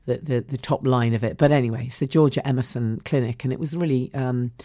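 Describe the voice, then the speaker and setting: A woman hosting a podcast in a croaky voice, chatting casually and rambling with many ums and restarts. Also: extremely low pitch, fast, dark tone, dry recording, studio quality. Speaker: podcast host. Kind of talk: casual solo podcast chat.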